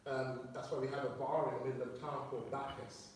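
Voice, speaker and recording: A man's voice: mid-range voice, flat delivery, a little fast, a little mellow. A man teaching a sermon to his fellowship, explaining words and asking the listeners questions. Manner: explanatory, reads quotes aloud, asks listeners questions. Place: church hall. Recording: very echoey room, mic far off, faint noise.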